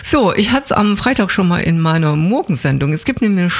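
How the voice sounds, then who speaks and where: A man with a notably high voice hosts a casual radio chat. He rambles a little, pausing with filler sounds as he thinks aloud. He speaks from a radio studio. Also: very animated. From Saxony. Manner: chatty, hesitant with fillers. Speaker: radio host.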